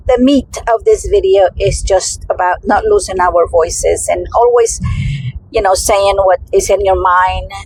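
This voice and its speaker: A woman's voice: medium pitch, gravelly, a little mellow, slight background noise, rough recording. A middle-aged woman with a Spanish accent, talking in a casual personal vlog and rambling through many restarts and fillers. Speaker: vlogger.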